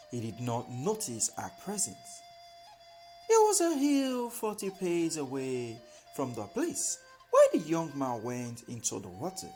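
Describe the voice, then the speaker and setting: A woman with a Nigerian accent narrating a bedtime folktale as a storyteller, expressive and deliberate. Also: very deep voice, low-quality recording.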